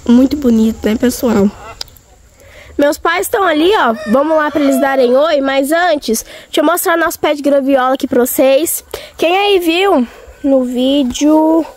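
A high-pitched young woman, still hoarse from a cold, chats to her followers as she films on a farm. She talks chattily and straight to camera on a phone mic, with a faint hiss and farm animals in the background. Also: unhurried, a little mellow. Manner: chatty, talking straight to viewers. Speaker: vlogger. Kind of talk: casual vlog chat. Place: outdoors on a farm.

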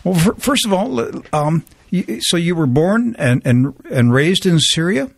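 A man's voice: on the high side, sing-song, a little slow, creaky, husky, no room sound. A man hosting a talk radio show, reading a scripted intro and then interviewing with earnest, stumbling restarts.

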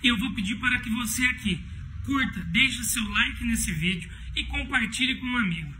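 A man's voice: extremely high pitch, over music. A man in his thirties from Brazil, a lawyer giving upbeat, emphatic daily tips to his phone camera outdoors under a patio. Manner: upbeat, emphatic, slows down on lists. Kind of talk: motivational selfie vlog. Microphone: phone at arm's length.

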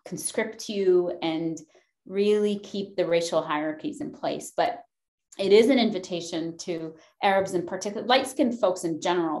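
A woman's voice: lowish voice, darkish tone, low-quality recording. A woman in her thirties from Lebanon, a podcast guest talking passionately and emphatically on a video call from a room at home, swearing and laughing often. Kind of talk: passionate conversational discussion. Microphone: video call webcam.

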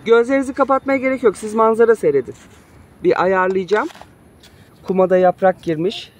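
A woman's voice: mid-range voice, steady tone, a little fast, darkish tone. A woman in her thirties, a mother filming her children as they play in a backyard, talking in a playful, coaxing way. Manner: playful, coaxing, laughs often. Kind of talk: casual family vlog narration. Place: backyard play area outdoors. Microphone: handheld phone camera.

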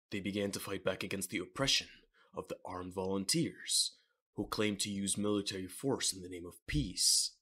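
A man with a husky voice reading scripted dramatic narration for a manga audio drama. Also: lowish voice, bright, forceful tone.

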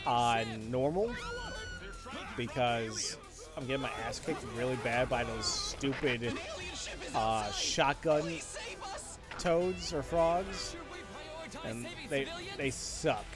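A man's voice: mid-range voice, slow, pauses often. A young man streaming a game, chatting casually with viewers, rambling with many restarts and blunt opinions. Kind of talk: casual game commentary with chat replies. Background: game music and sound effects.

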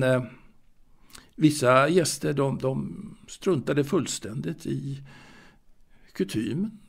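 An actor playing a fastidious, proud waiter and former seaman in an audio drama monologue, confiding in a halting, repetitive way. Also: on the high side, gravelly, darkish tone. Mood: prim, aggrieved. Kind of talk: intimate dramatic monologue, told to a listener.

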